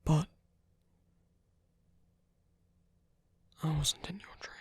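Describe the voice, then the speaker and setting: A performer voicing a tender reformed villain as a protective lover in an intimate, gentle, breathy ASMR roleplay, speaking slowly in a hushed whisper. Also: dry recording, lightly acted. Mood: tender, apologetic. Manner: slow, gentle, hushed, reassuring.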